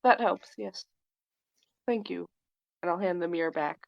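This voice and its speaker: A woman's voice: lowish voice, unhurried, gravelly, dry recording. A woman playing a tabletop game, chatting casually in character with hesitant, fragmented phrasing. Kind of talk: casual in-character improvised chat. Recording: dull, lo-fi microphone sound.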